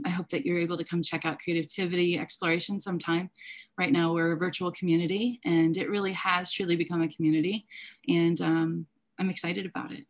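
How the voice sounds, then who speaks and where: Woman teacher, deep voice, earnest panel remarks. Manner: earnest, fluent with filler ums. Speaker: teacher and class host. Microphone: video call.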